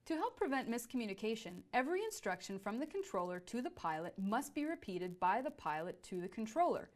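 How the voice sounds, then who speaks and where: A woman's voice: a little high, very animated, brisk. A woman presenting a scripted educational explainer.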